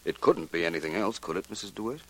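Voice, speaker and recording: A man playing a suave, dry-witted private detective in 1940s radio drama, crisp, formal and self-assured. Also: low voice, expressive, quick pace. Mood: brisk, businesslike.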